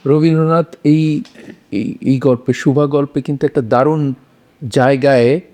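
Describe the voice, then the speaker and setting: A man's voice: medium pitch, fairly even intonation, unhurried, darkish tone. A man explaining a literary point, repeating phrases for emphasis. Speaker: panelist.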